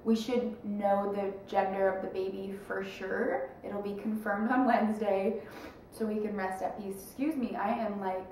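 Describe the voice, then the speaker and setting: A woman's voice: medium pitch, fairly even intonation, moderate pace, a little mellow, a little hiss or hum. A young woman vlogging casually to camera, chatty and rambling, with a stuffed-up nose. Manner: rambling, chatty, lots of fillers. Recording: echoey room, mic at a distance.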